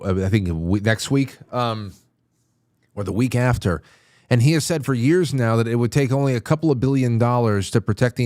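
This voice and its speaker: A man hosting a call-in talk show who interjects quickly and excitedly. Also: mid-range voice, no room sound, studio quality. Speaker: show host.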